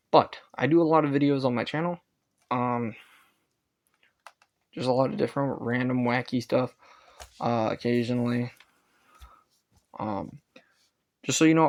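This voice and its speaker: Male hobbyist reviewer, mid-pitched with noticeable fry; unscripted, halting, casual delivery that doubles back to correct itself. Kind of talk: casual rambling gadget review.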